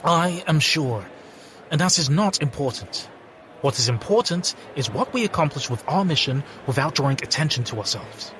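A man playing a sober, literal-minded alien in an audio drama, deadpan and formal. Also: fairly high pitch, moderate pace. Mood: deadpan, serious. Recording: faint background ambience noise.

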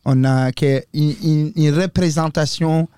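A young man hosts a relaxed panel chat. His voice sits a bit higher than most men's, and he talks in an enthusiastic, playful way. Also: measured pace, smooth. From Mauritania. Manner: enthusiastic, joking. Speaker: podcast host.

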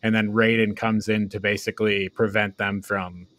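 A man's voice: lowish voice, level tone, normal speed, fairly bright tone, dry recording. A man co-hosting a podcast, chatting casually through a film review. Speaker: podcast co-host.

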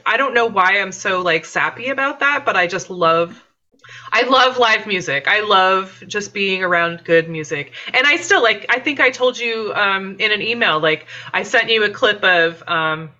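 A woman's voice: mid-range voice, animated, moderate pace, bright, forceful tone, dull audio. A woman in her fifties chatting in an interview, heartfelt and effusive, with plenty of "like" and "um". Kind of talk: casual interview chat.

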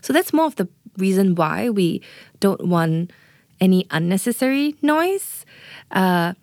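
A woman's voice: mid-range voice, very animated, measured pace, a little mellow, no room sound, clean studio sound. A woman working as a doula, explaining birth in an earnest interview manner and often checking in with "right?" and "you know". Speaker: doula, guest.

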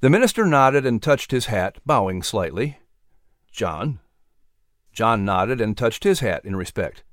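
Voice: mid-range voice, sing-song, normal speed, hardly any pauses, no echo, clean studio sound. An audiobook narrator reading a scripted story expressively.

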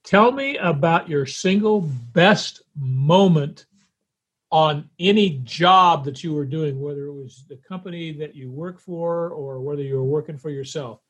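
A man in his seventies speaks as a podcast host. His voice is husky and a touch higher than average for a man. He talks conversationally, setting out his questions directly and deliberately. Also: muffled recording. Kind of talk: conversational interview.

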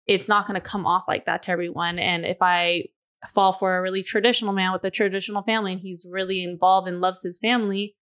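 Woman, twenties, podcast co-host, smooth clear voice, candid, chatty. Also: fairly low pitch, steady tone, a bit bright. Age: 20s. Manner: candid, confessional, drawn-out thoughts with frequent "you know". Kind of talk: casual podcast chat.

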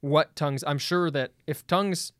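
A man debating as a guest, pushing back eagerly with quick stumbling restarts. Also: on the high side, a bit bright, dry recording, clean studio sound. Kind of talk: debate conversation.

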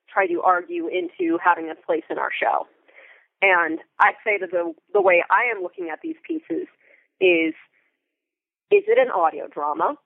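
A woman's voice: lowish voice, creaky, no room sound, narrow, tinny audio. A woman giving enthusiastic, articulate interview answers in a conversational way, thinking aloud as she goes. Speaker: theatre company member and Foley artist guest.

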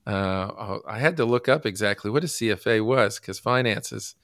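A man from the United States hosting a casual podcast interview, halting with many fillers and restarts. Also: on the low side, vocal fry, a bit bright, no echo, clean studio sound. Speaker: podcast host.

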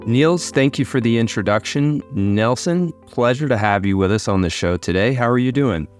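A man hosting a podcast, introducing his guest and asking questions in a friendly, slightly halting way. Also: medium pitch, animated, soft background music. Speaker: podcast host. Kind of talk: interview hosting.

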